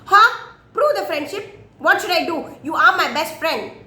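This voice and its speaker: Woman acting a chatty male monkey, storytelling, very high pitch, eager exclamations, kind temper, roomy sound. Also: animated, pushed and bright, heavily acted. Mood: astonished. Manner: exclaiming, eager, rapid questions.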